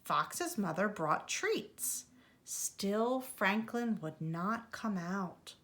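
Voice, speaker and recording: Lady teacher reading a kids book out loud, really animated, bright voice, takes her time. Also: mid-range voice. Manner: animated, deliberate delivery for young children. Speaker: preschool teacher reading aloud. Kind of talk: expressive children's storybook reading.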